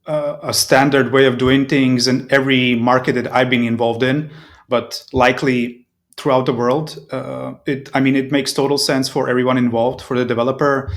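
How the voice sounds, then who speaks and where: A man's voice: mid-range voice, flat delivery, normal speed. Man in his thirties. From Serbia. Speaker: interview guest, proptech company representative. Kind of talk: conversational interview answers.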